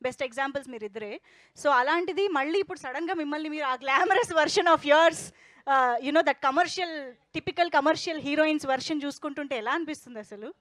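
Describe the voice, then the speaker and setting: A woman's voice: high voice, normal speed, fairly bright tone, no room sound. A woman hosting a film promotion, interviewing guests in a conversational style.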